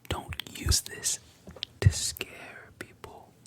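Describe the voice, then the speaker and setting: A storyteller voicing a calm adult male pirate captain in a soft, breathy whisper. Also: drawn-out words. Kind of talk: whispered ASMR story retelling.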